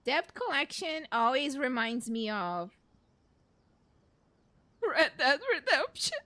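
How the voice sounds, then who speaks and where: Bright, high-voiced woman gamer. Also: big pitch swings, takes it slowly. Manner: chatty, rambling, reactive. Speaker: gaming streamer. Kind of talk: casual let's play commentary. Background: faint game music.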